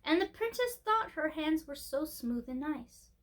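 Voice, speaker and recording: High-pitched woman reading a children's story. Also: big pitch swings, a little slow. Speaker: storyteller, host of a storytime show.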